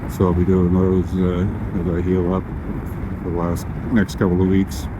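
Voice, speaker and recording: Deep-voiced man rambling from a motorcycle helmet. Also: monotone. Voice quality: occasionally a deep voice. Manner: rambling, halting with frequent "uh" fillers. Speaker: motorcycle vlogger. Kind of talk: casual ride-along commentary. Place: riding a motorcycle on the road outdoors. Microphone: boom mic inside a modular helmet chin bar. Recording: helmet mic with loud road noise. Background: wind and traffic noise.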